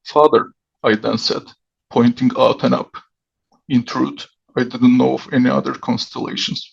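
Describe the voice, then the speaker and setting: A man whose first language is Turkish, reading his own short story aloud over a video call in a measured way. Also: medium pitch, level tone, creaky, breathy edge. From Turkey. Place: dimly lit home room. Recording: dull lo-fi video call audio.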